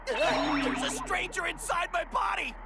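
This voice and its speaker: A man voicing an anxious, loyal everyman fighter in an anime video game, expressive and hesitant, over music. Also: extremely high pitch, slow, exaggerated voice. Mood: worried. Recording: loud music behind the voice.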